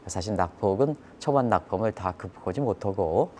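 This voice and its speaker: Male economic commentator, fairly low register, explains markets to viewers with repetition and rhetorical questions. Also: expressive, brisk, mellow, slight background noise. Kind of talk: live financial news commentary.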